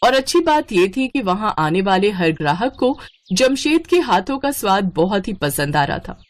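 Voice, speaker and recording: A woman narrates a moral tale in a scripted storytelling style. Her pitch sits in the middle and moves up and down in an animated way. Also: normal speed.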